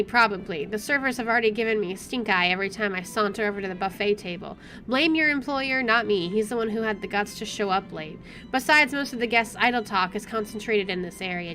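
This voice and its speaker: Bright high-ish woman doing a wry dramatic visual novel read, music playing behind her. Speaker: let's player reading a visual novel aloud. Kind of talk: expressive read-aloud narration of game text. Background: game music.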